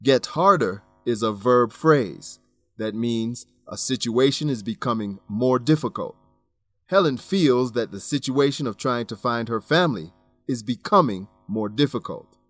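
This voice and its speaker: A man hosting an English lesson as scripted narration, measured, instructive and clearly enunciated. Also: mid-range voice, lively intonation, a bit bright, no echo, soft background music. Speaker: host and English teacher.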